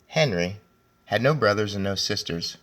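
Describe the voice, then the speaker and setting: A man reading a children's story aloud as a teacher, speaking deliberately and addressing young listeners directly. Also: fairly low pitch, animated, fairly bright tone. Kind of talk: children's read-aloud with commentary.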